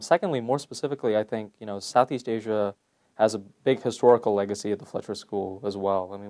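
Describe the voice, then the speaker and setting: Young man from Malaysia, low-pitched, measured academic interviewee, thoughtful with fillers. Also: mellow. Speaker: PhD candidate, interview guest. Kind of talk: conversational interview answers. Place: conference venue.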